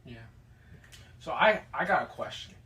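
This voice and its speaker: A man's voice: on the high side, expressive, a little slow, bright, forceful tone, far from the mic. A man asking loose hypothetical questions in a casual discussion, swearing offhandedly.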